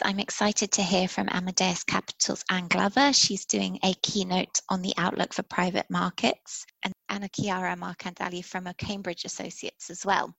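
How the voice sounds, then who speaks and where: A woman speaking as a conference producer, giving measured, professional answers as a podcast interview guest. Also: medium pitch, gravelly, fairly bright tone, dry recording, rough recording.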